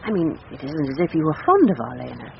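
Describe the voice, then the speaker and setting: A woman's voice: low-pitched, very animated, fast, vocal fry, heavily acted. An actress playing a firm, tense, well-bred married woman in a radio drama. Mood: urgent, hushing. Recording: dull radio recording, faint noise.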